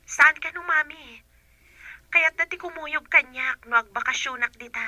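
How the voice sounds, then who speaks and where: A woman's voice: high voice, moderate pace, sharp tone, dry recording. A woman playing a respectful, earnest young daughter in an Ilocano radio drama. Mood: questioning.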